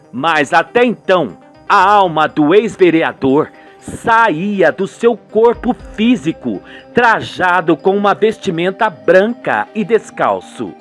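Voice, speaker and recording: A man with a Brazilian accent giving theatrical, dramatic narration of a radio soap. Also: high-pitched.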